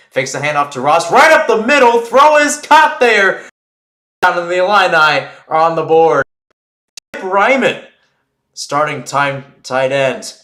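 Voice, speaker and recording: A man with a mid-range voice commentates live on a football game. He talks through situations in a loose, explanatory way, in the manner of radio play-by-play. The sound is noticeably echoey and roomy. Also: mid-range voice. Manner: rambling and explanatory between plays, excited on big plays.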